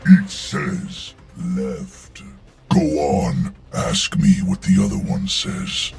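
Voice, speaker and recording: An actor playing a huge, gruff, menacing game brute in a gravelly growl with weighty delivery. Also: male voice, extremely low pitch, lively intonation, drawn-out words, music in the background, exaggerated voice.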